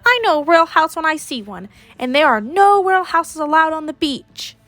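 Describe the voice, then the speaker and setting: A woman's voice: high voice, expressive, normal speed, fairly bright tone, voice pushed up. A young woman voicing a bossy, scoffing contest judge in a picture book, blustery and emphatic.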